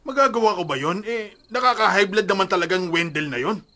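A man's voice: high-pitched, fairly quick, a crowd in the background, lightly acted. An actor playing an angry, exasperated middle-aged Filipino father in a radio drama, stern and confrontational.

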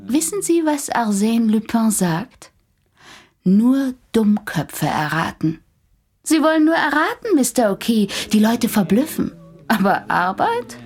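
An actress playing a confident, clever female psychiatrist in a radio drama, brisk and gently coaxing, with a breathy voice. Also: fairly high pitch, very animated, exaggerated voice. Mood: teasing.

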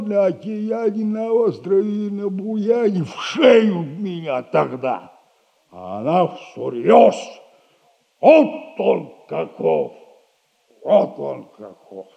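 Creaky, high-pitched boastful old soldier. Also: male voice, expressive, dark tone, heavily acted. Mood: gloating, mysterious. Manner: drawn-out, rambling, self-admiring storytelling with repeated catchphrase. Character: boastful old retired soldier recounting his conquests. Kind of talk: theatrical radio play comedy acting.

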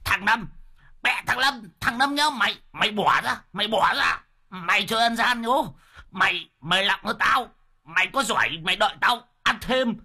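A man's voice: on the low side, very animated, moderate pace, long pauses, bright, forceful tone, husky, full character voice. A man voicing a small, crude old husband who curses and squawks at people. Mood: squawking. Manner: coarse and cursing.

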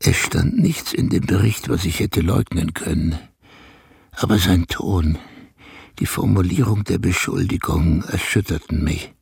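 An old herder looking back on his downfall in a radio drama. His low voice is heavily creaky and very husky, in a dry recording. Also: a little slow, a little mellow, no room sound, full character voice. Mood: shaken, powerless. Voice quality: gravelly, husky. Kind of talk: first-person radio drama narration.

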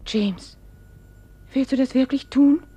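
An actress playing an anxious but steadfast farmer's wife in a 1950s German radio play, with plaintive, emotive delivery. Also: female voice, high voice, slow, dark tone, slightly rough, heavily acted. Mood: pleading.

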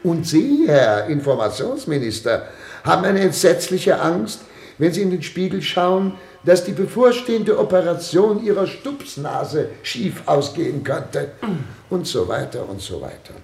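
An actor playing a pompous, fretful head of state in a German radio play, speaking in an oratorical, theatrical way. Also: male voice, fairly high pitch, big pitch swings, darkish tone, full character voice. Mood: needling, commanding. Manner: pompous, oratorical, theatrical throat-clearing and pauses. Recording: roomy, reverberant radio studio sound.

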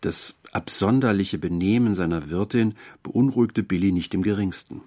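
A man's voice: low-pitched, normal speed, dark tone. A man reading a literary story as narrator, in scripted narration.

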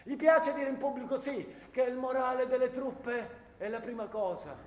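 An angry First World War infantryman with a high-pitched voice, in a radio play. He rants with bitter sarcasm and indignant rhetorical questions. The sound rings with room echo over faint noise. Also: moderate pace. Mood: scornful ranting. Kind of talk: theatrical radio play monologue. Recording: roomy, reverberant hall with faint noise.

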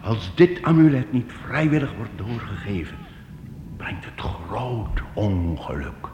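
An actor in a radio play, playing a sly, flattering master of travelling musicians with theatrical salesman's patter. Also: on the low side, takes it slowly. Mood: wheedling, flattering. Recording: echoey hall, noisy old radio recording.

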